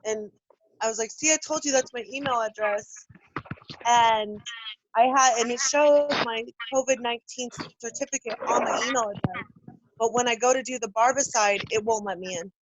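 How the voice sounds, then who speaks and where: A woman's voice: fairly high pitch, normal speed, highs cut off, a little hiss or hum. A woman employee in a training video call, talking casually and anecdotally. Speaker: salon employee attending training.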